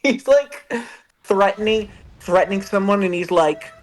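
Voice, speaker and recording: A man's voice: sits high, expressive, unhurried. A man bantering casually as a co-host, with a crude, rambling way of joking. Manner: crude, rambling comic riffing.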